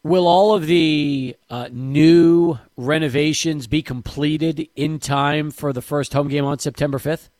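Male sports radio host, slightly high, winding interview questions. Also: steady tone, measured pace, hardly any pauses, a little mellow, dry recording.

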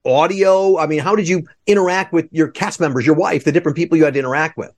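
A man's voice: a little high, quick pace. A man hosting a podcast interview in a rambling, conversational way. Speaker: podcast host.

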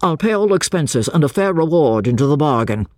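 A woman's voice: low-pitched, lively intonation, brisk, darkish tone, no echo, studio quality. A woman voicing a commanding man who keeps an asylum, calling out urgent orders in an audiobook reading.

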